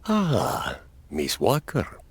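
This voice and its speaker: An actor playing a weary, sly old colonel in a video game, slow and wry, with a husky, breathy voice. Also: male voice, fairly low pitch, huge pitch swings, no room sound, heavily acted. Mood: wry, resigned. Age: older. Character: weary old male colonel, retired-soldier type, sly.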